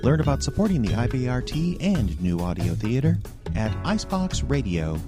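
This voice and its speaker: A man's voice: medium pitch, very animated, moderate pace, music in the background. A man reading scripted radio drama credits as an announcer.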